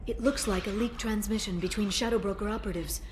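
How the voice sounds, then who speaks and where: A woman voicing a composed alien information broker in a video game cutscene, speaking softly and in a measured way. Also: medium pitch, fairly even intonation, background noise, natural voice. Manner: soft-spoken, measured, quiet intensity.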